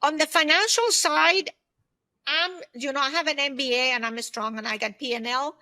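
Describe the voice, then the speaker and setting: A woman in her fifties answering interview questions conversationally, thinking aloud in short, halting bursts. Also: high-pitched, bright, forceful tone. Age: 50s. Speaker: podcast guest, solopreneur and former aerospace executive.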